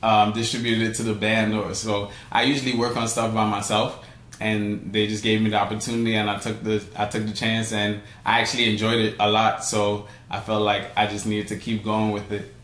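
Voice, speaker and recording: A man's voice: fairly low pitch, little pitch movement, fairly quick, a bit bright. A young man from Trinidad answering interview questions, hesitant, with many fillers and restarts. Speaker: guest, steelpan arranger. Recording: echoey room, faint ambience.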